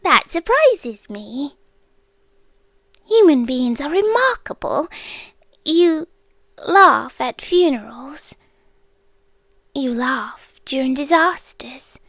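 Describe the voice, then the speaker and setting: A British woman playing an ancient, calm, doting apocalyptic girlfriend in a soft, partly whispered ASMR roleplay, speaking slowly and deliberately. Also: high voice, no echo, full character voice. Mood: fond, musing. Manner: slow, hushed, deliberate delivery.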